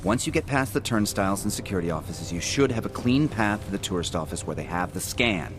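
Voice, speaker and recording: A male actor's nervous, defensive forensic investigator from a video game, chatty and excitable. The pitch sits fairly low but leaps about as he rambles and hesitates. Music plays clearly behind him. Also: fast, natural voice. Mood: flustered. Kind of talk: video game cutscene acting.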